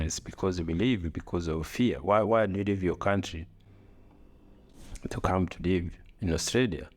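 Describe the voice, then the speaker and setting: A man's voice: sits low, a little mellow. A middle-aged man with an East African accent and non-native English, talking reflectively on a podcast, repeating phrases and posing rhetorical questions. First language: not English. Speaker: podcast co-host. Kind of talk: reflective conversational podcast talk.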